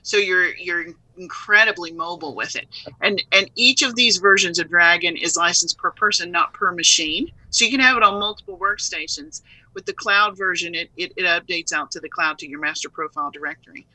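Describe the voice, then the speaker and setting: A woman speaking as a guest in a casual live video interview, lively and playful in manner. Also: lowish voice, fairly quick, dry recording, low-quality recording. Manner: lively, playful, laughs easily. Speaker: software trainer and company head.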